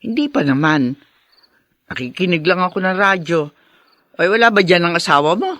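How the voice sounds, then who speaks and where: A woman's voice: fairly low pitch, expressive, moderate pace, fairly bright tone, no room sound. A woman with a Filipino accent playing a caring, fussing middle-aged mother in a radio drama. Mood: fussing, concerned. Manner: concerned, motherly scolding.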